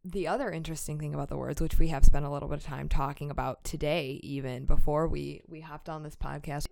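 A woman's voice: low voice, fairly even intonation, fast talker, vocal fry, a little mellow. An American woman hosting a podcast, talking conversationally about a book in an articulate, reflective way. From United States. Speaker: podcast host.

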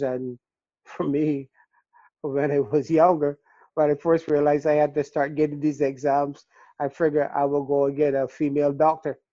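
An older man from Barbados with a Barbadian accent, telling his story in a casual interview, earnest and halting with repeated words. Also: on the high side, dark tone, dry recording. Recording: dull, dry lo-fi recording.

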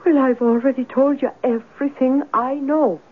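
A woman's voice: sits high, steady tone, normal speed, loud music behind the voice, lightly acted. A woman playing a middle-aged, plain-spoken working-class landlady in a radio drama, flustered and defensive in ungrammatical, exclaiming speech. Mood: agitated, mournful. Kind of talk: old-time radio drama acting. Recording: narrow, tinny old radio recording.

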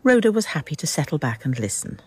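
An actress playing a measured storyteller with a mellow, husky voice in scripted literary radio drama narration. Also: female voice, deep voice, very animated. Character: measured third-person storyteller.

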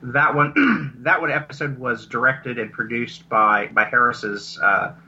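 A man talking casually as an interview guest, explaining his audio drama work in a halting, rambling, self-correcting way. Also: medium pitch, sharp tone, husky. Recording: dull lo-fi connection.

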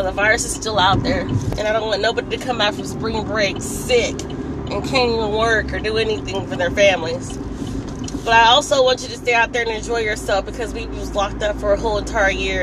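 A woman with a hoarse, raspy voice, chatting casually as she drives to work and often laughing and clearing her throat. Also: medium pitch, background noise. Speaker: vlogger. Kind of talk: casual chat while driving. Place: in a car on a commute.

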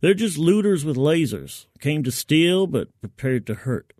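A man's voice: a little high, big pitch swings, measured pace, a little mellow, dry recording, clean studio sound. A man narrating a first-person story in a colloquial, wry, folksy manner. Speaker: fiction narrator.

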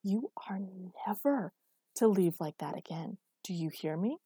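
A woman's voice: a little high, expressive, moderate pace, gravelly, mellow, breathy edge, dry recording, studio quality, pitched above the performer's own voice, heavily acted. A woman voicing an angry, controlling but protective and exasperated man in a dramatic story reading. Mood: furious, commanding.

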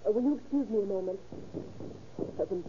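An actress playing a gentle, anxious, long-suffering young wife, polite and pleading, in 1930s radio drama style. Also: female voice, mid-range voice, fairly quick, natural voice. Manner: pleading, genteel. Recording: scratchy, muffled old radio recording.

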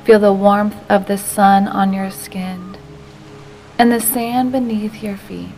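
Gentle woman guiding a meditation over music. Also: medium pitch, flat delivery, low-quality recording. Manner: slow, unhurried, gentle delivery with soft breathy phrase endings. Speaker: meditation guide. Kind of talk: guided meditation narration.

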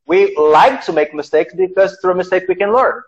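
High-voiced man hosting an interview. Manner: halting, many fillers and restarts. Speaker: podcast host. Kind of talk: casual interview. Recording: dull lo-fi sound.

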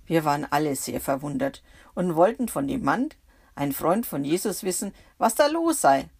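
A woman voicing a calm middle-aged brother-in-law retelling what he heard in a bible story. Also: fairly high pitch, moderate pace, gravelly, breathy edge, voice pushed down, exaggerated voice.

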